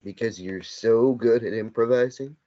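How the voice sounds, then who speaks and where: A man's voice: mid-range voice, moderate pace, slight background noise, lightly acted. A man in a script table read, playing a dry, blunt, confrontational companion who needles a captive. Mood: accusing. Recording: dull lo-fi sound.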